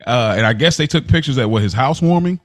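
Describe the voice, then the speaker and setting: A man's voice: mid-range voice, lively intonation, quick pace. A man hosting a podcast, recapping television in casual, slangy talk. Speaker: podcast host.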